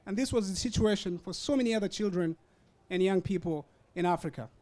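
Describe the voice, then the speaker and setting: A young man from Rwanda speaks in a notably high, light register. He addresses an audience at a university event in earnest, measured sentences. English is his second language, and he pauses to gather his words now and then. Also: darkish tone. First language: not English. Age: young adult. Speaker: student speaker. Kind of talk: prepared public talk. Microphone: hand-held or stand mic.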